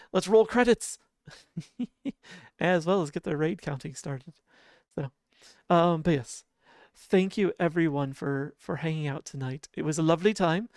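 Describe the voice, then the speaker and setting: Sleepy-voiced, smooth-toned game streamer. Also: medium pitch, dry recording, clean studio sound. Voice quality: sleepy-sounding voice. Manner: soft, relaxed, chuckles often and hums idle filler tunes. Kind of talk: casual livestream chat.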